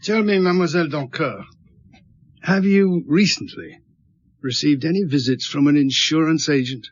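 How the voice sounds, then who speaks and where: An actor playing a calm, patient police inspector in a radio drama, questioning in a measured, courteous way. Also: male voice, a little high, highs cut off, natural voice. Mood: measured, probing.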